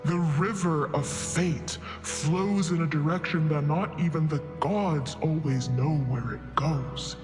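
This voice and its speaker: Man as a slow, solemn god in vast reverb. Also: fairly high pitch. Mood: gentle warning. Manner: measured, grave, stately. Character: ancient, solemn, benevolent god weighing cosmic order. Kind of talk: fantasy audio roleplay. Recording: long reverb with music behind.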